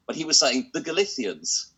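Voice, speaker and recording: A man's voice: fairly high pitch, very animated, moderate pace, pushed and bright, breathy edge, rough recording. A man guest comedian telling stories on a video call, often repeating words as he starts. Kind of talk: anecdotal comedy chat.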